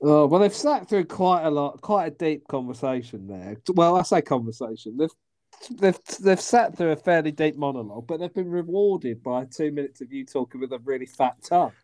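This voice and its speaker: A woman's voice: low-pitched, expressive, moderate pace, darkish tone. A woman co-hosting a podcast in casual chat, teasing her partner and laughing often. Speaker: podcast co-host.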